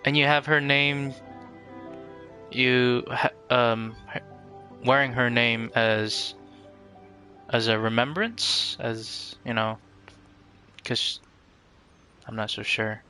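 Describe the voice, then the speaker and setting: A man hosting a live game stream, chatting casually and politely with viewers in a halting way, searching for words. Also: medium pitch, steady tone, bright, forceful tone, faint music behind.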